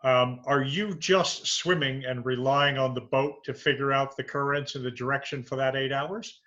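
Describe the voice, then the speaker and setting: Host guy asking questions, bright tense voice, muffled lo-fi call sound, trips over words a bit. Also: mid-range voice, steady tone, moderate pace. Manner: curious, stumbling restarts. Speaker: interviewer, show host. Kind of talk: conversational interview questions.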